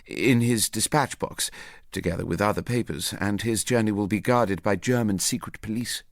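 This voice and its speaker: Male reader as weary senior civil servant, low voice, formal, clipped, grave, discreet, radio story. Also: moderate pace, no room sound, studio quality, natural voice. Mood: matter-of-fact. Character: tall, weary, formal government under-secretary, anxious and discreet.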